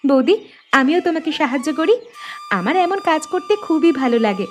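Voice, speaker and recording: A woman's voice: sits high, sing-song, normal speed. A woman playing a cheerful, eager young married woman in a cartoon, chatting with family and friends. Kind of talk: cartoon dialogue acting. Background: background music.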